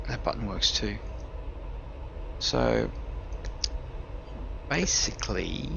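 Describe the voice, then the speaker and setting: A man's voice: mid-range voice, vocal fry, highs cut off. A man streaming a flight simulator, chatting casually and muttering to himself as he works the controls. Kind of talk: casual flight sim commentary. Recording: noisy stream audio.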